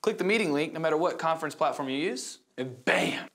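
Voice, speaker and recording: A man's voice: a little high, expressive, fairly quick, a loud crowd. A man playing a helpful, confident coworker demonstrating a gadget in a comedic ad. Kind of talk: comedic ad acting, product demonstration. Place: conference room.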